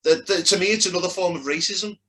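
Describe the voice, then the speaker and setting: A man's voice: high-pitched, fast talker, sharp tone. A man with a Liverpool accent arguing his side in a heated casual debate, blunt and emphatic. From Liverpool, England.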